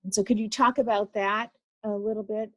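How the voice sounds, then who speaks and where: A woman's voice: medium pitch, steady tone, brisk, a bit bright, no echo, highs cut off. A woman, an association president, interviewing a guest in an attentive, affirming way. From United States. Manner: attentive, affirming, frequent "mm-hmm". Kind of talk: interview conversation.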